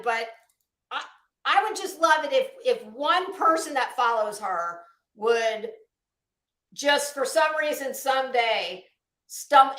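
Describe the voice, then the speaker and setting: A woman's voice: high-pitched, pushed and bright, roomy sound, rough recording. A woman hosting casual, critical commentary, blunt, emphatic and scornful in the way she talks. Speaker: YouTube commentary show host.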